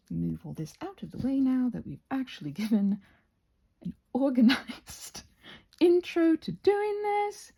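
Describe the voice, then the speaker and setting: A woman with a lively, swooping delivery and a slightly husky, creaky edge hosts a casual craft tutorial. She rambles chattily through her steps, drifting into playful, self-deprecating asides. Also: mid-range voice.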